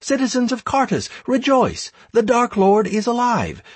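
A man voicing a formal, declamatory game system announcer in a fantasy audiobook, making brisk proclamations. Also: extremely high pitch, wildly swooping pitch, husky, no echo, voice pushed up, full character voice. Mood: jubilant proclamation.

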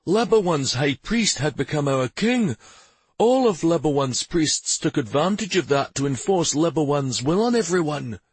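A man's voice: on the high side, expressive, normal speed, slightly rough, no room sound, voice pushed up. Man doing a troubled, pleading village mayor. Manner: pleading, deferential. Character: humble, troubled village mayor, deferential and ashamed. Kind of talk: fantasy audiobook character dialogue.

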